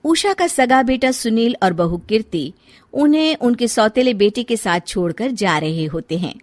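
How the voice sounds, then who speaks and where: A woman's voice: a little high, animated, normal speed, no echo, faint music behind. A woman reading scripted narration for a moral story as a storyteller.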